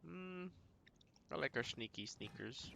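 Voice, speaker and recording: A man's voice: medium pitch, expressive, measured pace, vocal fry, bright, forceful tone, slight background noise. A man streaming a video game, giving casual running commentary and often muttering to himself as he plays. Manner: muttering to himself while playing.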